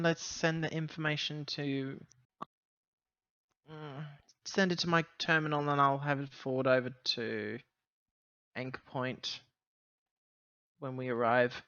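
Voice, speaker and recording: A man talking casually as a player in a tabletop roleplaying game, with wordy, deliberate phrasing. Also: on the high side, steady tone, a bit bright, clean tone, no echo, lo-fi sound.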